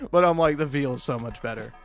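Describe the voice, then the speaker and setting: A man giving casual, meandering gameplay commentary, swearing freely and poking fun at himself as he chuckles along. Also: medium pitch. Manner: sweary, self-deprecating, meandering; chuckles often while talking. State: high on drugs. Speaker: video game streamer.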